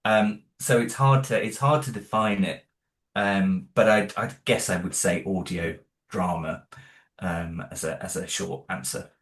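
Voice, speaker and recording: Man, casual guest interview, deep-ish, roomy sound, rambly with restarts. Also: steady tone, unhurried. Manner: rambling, many restarts, laughs along. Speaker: audio drama creator interviewed as guest.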